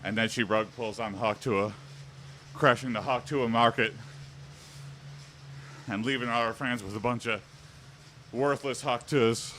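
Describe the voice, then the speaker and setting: A man's voice: medium pitch, fairly even intonation, a little slow, long pauses, bright, forceful tone, a little hiss or hum. A man hosting a casual livestream from a room at home, chatting and answering viewers while he exercises on a bike, his mic set a little way off. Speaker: livestream host. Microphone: standing mic a little way off.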